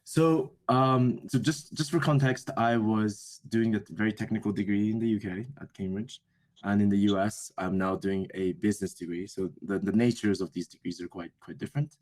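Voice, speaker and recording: Young man, native Japanese speaker, low voice, thoughtful, hesitant, restarts sentences. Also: fairly even intonation, moderate pace, darkish tone, low-quality recording. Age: young adult. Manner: thoughtful, hesitant, self-correcting. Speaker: interview guest, business school student. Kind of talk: conversational interview answers.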